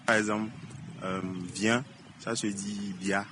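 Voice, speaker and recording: A man answering a street interview, hesitant and halting in his replies. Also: lowish voice, little pitch movement. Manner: hesitant, with restarts. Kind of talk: vox pop answer. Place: street outdoors. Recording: noisy outdoor street recording.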